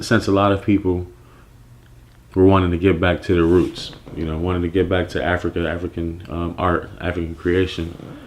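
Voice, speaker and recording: Deep-voiced man telling his story. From Nigeria. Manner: reflective, relaxed, with many fillers and restarts. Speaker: clothing brand founder. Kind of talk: personal story monologue.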